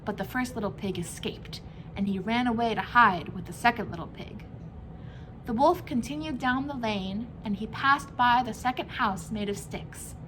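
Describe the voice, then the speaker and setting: A woman's voice: fairly high pitch, normal speed, a bit bright, slight background noise, rough recording. A woman reading a children's story aloud as a storyteller, phrasing it expressively for young listeners.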